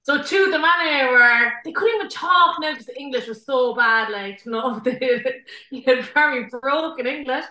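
A woman with a high, bright voice that rings a little, recorded in a room with a clear echo. She chats casually and laughs through her stories. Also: moderate pace. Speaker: podcast co-host. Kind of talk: casual chat.

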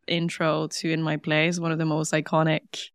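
A woman's voice: sits low, completely flat delivery, normal speed, clear, resonant tone, no echo, clean studio sound. A young woman from Norway, a native Norwegian speaker talking in English as an interview guest, eager and chatty with many fillers. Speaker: guest, singer. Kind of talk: casual interview.

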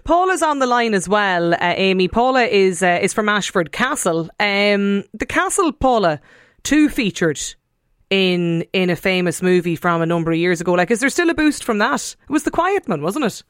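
Chatty radio host, smooth voice. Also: male voice, sits high, lively intonation, moderate pace, fairly bright tone, no room sound, studio quality. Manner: chatty, encouraging interjections. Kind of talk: radio phone-in interview.

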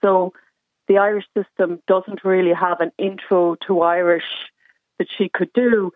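A woman's voice: on the low side, steady tone, measured pace, no room sound. A woman calling a radio show as a mother, explaining her situation earnestly, with plenty of ums along the way. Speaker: caller, mother. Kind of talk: radio phone-in call. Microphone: telephone. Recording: tinny dry phone line.

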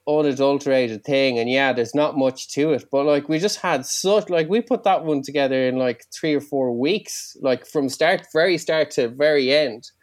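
Rambling musician, quick bursts. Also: male voice, mid-range voice, no echo, studio quality. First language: Irish. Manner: fast, rambling, many likes and you-knows. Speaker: musician guest. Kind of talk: casual interview answers.

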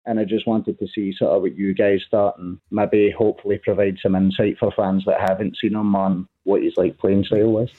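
A man's voice: on the low side, flat delivery, a little fast, few pauses. A man phoning in to a football radio show, speaking earnestly. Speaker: caller. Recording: tinny phone line.

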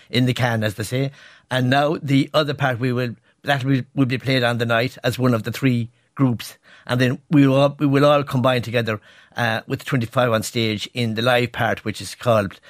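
A man's voice: mid-range voice, steady tone, normal speed, no echo. A man with an Irish accent, a guest in a radio studio interview, speaking earnestly and with emphasis. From Ireland. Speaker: guest performer. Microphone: studio microphone.

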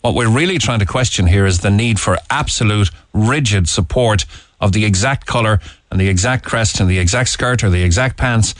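A man's voice: lowish voice, brisk, dry recording, studio quality. A man with an Irish accent hosting a radio phone-in show, asking probing, leading questions and reading out listener texts. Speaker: radio talk show host. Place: radio studio.

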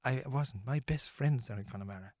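A man's voice: medium pitch, brisk, darkish tone, no echo, studio quality. A middle-aged Irish man, a musician being interviewed, talking earnestly and emphatically with many restarts and fillers. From Ireland.

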